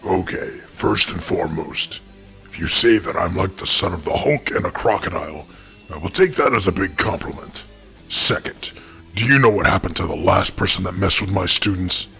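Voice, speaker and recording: A giant male lizard wrestler acted by a man, very deep and forceful. He is proud and menacing, a righteous cartoon superhero squaring up for a fight with faint music behind him. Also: moderate pace. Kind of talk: animated fight show acting.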